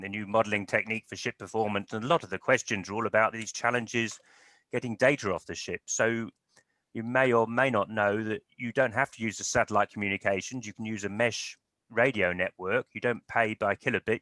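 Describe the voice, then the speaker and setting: Webinar host, male; low-set voice with a bright edge, dry close sound, steady explanatory tone. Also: dry recording. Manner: measured, explanatory. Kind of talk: moderating a webinar. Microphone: video call.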